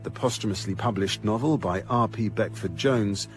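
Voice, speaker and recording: An actor serves as a male narrator for a radio crime drama. His scripted delivery is measured and factual in a fairly low voice. Soft music plays underneath. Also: close to an everyday voice. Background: faint music.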